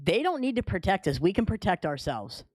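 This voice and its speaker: A man's voice: high-pitched, big pitch swings, brisk, breathy edge, dry recording. A man hosting a livestream, chatting casually and relaying comments from viewers. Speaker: livestream host.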